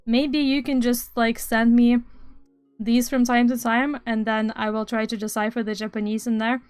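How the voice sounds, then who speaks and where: A young woman from Estonia streams casual chat with a smooth, clear, fairly high voice. She rambles along with dry sarcastic asides into a close, dry-sounding mic. Faint music plays under her. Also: level tone, moderate pace, a little mellow, dry recording.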